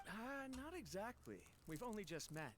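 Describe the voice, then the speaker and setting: Casting: deferential young game servant, slightly high, bouncy intonation, eager, music underneath. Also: male voice, very animated, moderate pace, very noisy. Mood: confiding. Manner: polite, eager, confiding. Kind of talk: video game voice acting. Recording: background music behind the voice.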